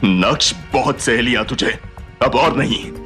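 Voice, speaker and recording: A man's voice: mid-range voice, measured pace, bright, forceful tone, soft background music. A man playing a spiteful, greedy middle-aged uncle in an audio drama, theatrical and sneering. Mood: angry, sneering. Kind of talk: Hindi audio drama acting.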